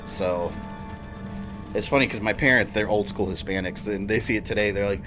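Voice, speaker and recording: A man's voice: fairly low pitch, fairly quick, music in the background. A man in his thirties chatting casually and rambling while he streams a video game. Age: 30s. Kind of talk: casual chat while gaming.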